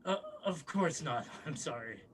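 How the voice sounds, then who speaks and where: A man playing a shifty, nervous impostor in a table read, halting and evasive. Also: a little high, expressive, slight background noise. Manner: halting, stammering. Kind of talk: film script table read.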